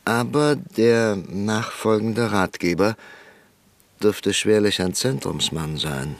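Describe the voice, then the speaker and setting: An actor playing an old, cautious parliamentarian professor in a radio drama, formal and hesitant with frequent pauses. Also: male voice, fairly low pitch, no room sound. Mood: earnest. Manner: formal, hesitant with ähs, pleading. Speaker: parliamentary deputy.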